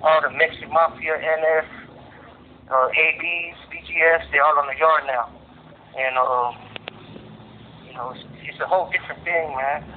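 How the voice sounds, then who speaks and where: A man's voice: on the high side, a little hiss or hum. A man talking casually and bluntly in street slang, telling his story as an inmate interviewed over a prison phone line.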